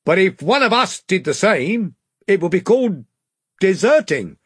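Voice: mid-range voice, very animated, a little slow, breathy edge, no echo, clean studio sound, voice pushed up, exaggerated voice. Brief: storybook sailor, polite but blunt, voiced by the narrator. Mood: bold.

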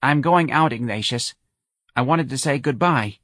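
Man voicing a plaintive, high-pitched New Orleans mother. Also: moderate pace, a bit bright, no echo, studio quality, lightly acted. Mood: sad, pleading. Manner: plaintive, hesitant, nervous. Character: timid, fretful older mother.

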